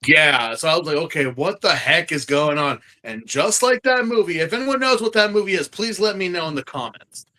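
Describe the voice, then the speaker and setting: A man hosting a casual podcast review, animated and slangy, repeating phrases for emphasis. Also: on the high side, fairly quick, few pauses. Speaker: podcast host.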